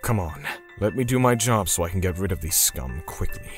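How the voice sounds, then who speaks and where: A low-voiced man acting as a grim torturer narrates a manga drama. He is solemn and menacing, with lively swings in his delivery, while music plays faintly behind him. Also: brisk. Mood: grim resolve. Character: grim, self-righteous male torturer seeking vengeance for victims.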